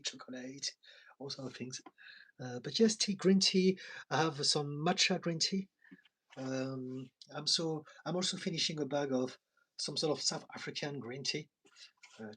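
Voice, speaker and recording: A man's voice: mid-range voice, slightly rough, low-quality recording. A man with a French accent, a tired therapist chatting casually on a live stream and reading out viewer comments with frequent laughs. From France. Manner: chatty, laughs often, soft asides. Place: home in London.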